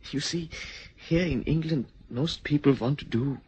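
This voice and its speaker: An actor playing a nervous, earnest German émigré tutor with a German accent, speaking softly and stammering. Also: male voice, fairly high pitch, unhurried, slightly rough, highs cut off. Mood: sincere. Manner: soft, hesitant, stammering. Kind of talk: stage drama acting.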